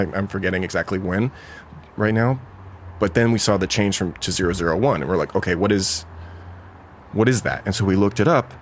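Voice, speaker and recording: A man talks casually, recalling events for an interviewer. His voice is low, and there is faint noise in the location recording. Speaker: housemate being interviewed.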